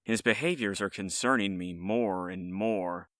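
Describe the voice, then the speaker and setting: A man's voice: low-pitched, unhurried, a bit bright, dry recording, studio quality. A man playing an exasperated eldest elf brother in a fantasy audio drama, speaking formally and articulately. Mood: concerned.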